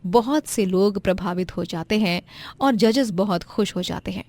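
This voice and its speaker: A woman's voice: medium pitch, moderate pace, a little mellow. A woman storyteller reading scripted narration for a moral tale.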